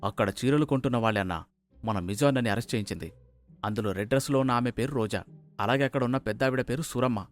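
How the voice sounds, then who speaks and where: A man's voice: mid-range voice, fast, soft background music, pitched below the performer's own voice, close to an everyday voice. A man voicing a loyal, eager gangster's henchman in a story. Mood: informing.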